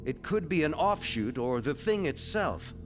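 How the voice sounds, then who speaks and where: A man playing a learned scholar of ancient scripts in an audio drama, speaking in a measured, lecturing way. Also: a little high, expressive, soft background music. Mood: pensive.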